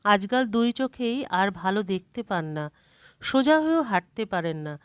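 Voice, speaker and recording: Woman, storyteller, scripted narration, medium pitch, dry echo-free recording. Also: animated, moderate pace, clear, resonant tone.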